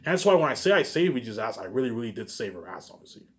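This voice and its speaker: Male vlogger, rapid informal delivery, mid-pitched, self-aggrandizing, loops back on himself. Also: very animated. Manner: repetitive, circling back over the same phrases. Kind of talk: rambling informal monologue to viewers.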